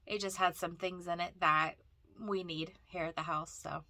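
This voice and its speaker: A woman's voice: lowish voice, fairly even intonation, normal speed, bright, forceful tone, no room sound. A woman with a cold and a stuffy, nasal voice, chatting casually as she narrates a walk through a thrift store. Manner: chatty, rambling, frequent fillers and restarts.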